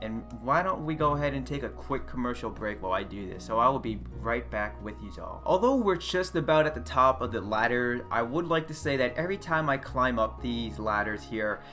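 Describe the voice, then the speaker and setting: A man hosting a casual gameplay video, talking loudly and chattily into a desk mic, often correcting himself mid-sentence. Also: medium pitch, expressive, a little fast, hardly any pauses, faint music behind. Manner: loud, chatty, self-correcting. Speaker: gaming channel host. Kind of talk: casual game commentary. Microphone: desk USB condenser mic.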